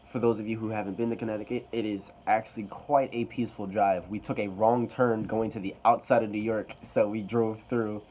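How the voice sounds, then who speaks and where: A man's voice: fairly low pitch, a little mellow, lo-fi sound, a little hiss or hum. Young man rambling through a story. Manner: rambling, hesitant, with many fillers. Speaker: vlogger telling a story. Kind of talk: casual storytelling.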